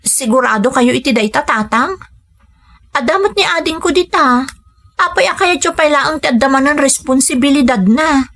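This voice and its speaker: A woman's voice: high-pitched, expressive, moderate pace, a bit bright. A Filipino woman playing a hardworking, self-sacrificing daughter who works abroad as a maid in an Ilocano radio drama. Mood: questioning, worried.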